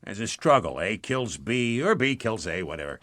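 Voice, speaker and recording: An actor playing a smug, sarcastic police lieutenant in a detective radio drama, with a bossy, wisecracking manner. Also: male voice, on the low side, sing-song, normal speed, fairly bright tone, dry recording. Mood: smug, impatient.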